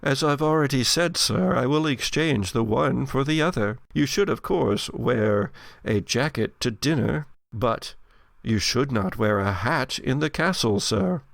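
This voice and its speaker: Man putting on a creaky, stiffly formal menacing butler. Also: mid-range voice, animated, dry recording, clean studio sound, voice pushed up. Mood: haughty, insistent. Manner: stiffly formal, measured courtesy. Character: formal, menacing undead butler, politely insistent.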